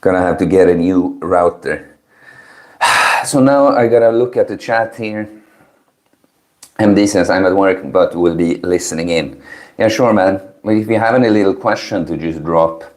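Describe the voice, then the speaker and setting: Slow, low-voiced man chatting on stream. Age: early 30s. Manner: chill, mellow, low-key. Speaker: livestream host. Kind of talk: casual chat with live stream viewers.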